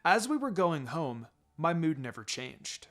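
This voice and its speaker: A male narrator reads fan fiction with expressive storytelling. His voice runs a little high and his intonation rises and falls a lot. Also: unhurried, soft background music. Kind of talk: fan fiction read aloud.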